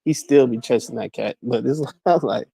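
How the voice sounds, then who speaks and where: A man in his mid-forties in a casual chat, halting and repeating words, full of "like". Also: medium pitch, creaky, dark tone, slightly rough, no echo. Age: 40s.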